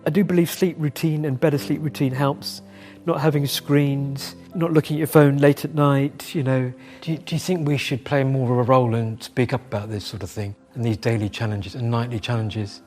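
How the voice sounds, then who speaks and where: A British man of average pitch co-hosts a podcast in an easygoing, reflective way. He talks conversationally and often checks in with his listeners. Soft music plays faintly behind him. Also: fairly even intonation, normal speed. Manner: thoughtful, conversational, frequent "right?" and "you know". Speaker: podcast co-host. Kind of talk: casual podcast chat.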